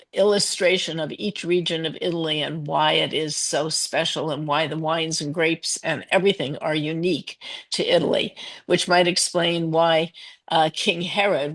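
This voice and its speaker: Lady with a stuffy cold on a video call, lowish voice, gushing about stuff. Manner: enthusiastic, effusive descriptive talk. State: nasal from a cold. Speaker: class participant. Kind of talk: contributing to an online class discussion.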